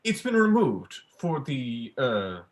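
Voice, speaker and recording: A man's voice: medium pitch, very animated, slow. A young man acting a polite, cagey male visitor with a hesitant manner in a staged play reading. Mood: cagey, awkward. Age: young adult.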